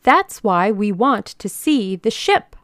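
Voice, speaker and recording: A woman voicing a fierce, suspicious, frail little old lady in a storybook reading, with a forceful, demanding manner. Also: lowish voice, big pitch swings, a little slow, dry recording, clean studio sound. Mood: indignant, demanding.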